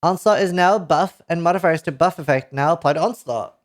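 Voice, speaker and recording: A man in his thirties with a Norwegian accent reads patch notes aloud to a live chat, reacting with excited outbursts and casual swearing, into a headset mic. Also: fairly high pitch, a little fast. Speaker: streamer. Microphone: headset mic at a desk.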